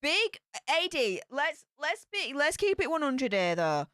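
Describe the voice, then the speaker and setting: A woman's voice: high voice, big pitch swings, unhurried, a bit bright, dry recording, studio quality. A woman with a Yorkshire accent hosting a casual livestream, reading viewer comments aloud and answering them in a chatty way with broad local slang. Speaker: livestream host reading chat. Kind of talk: casual live chat.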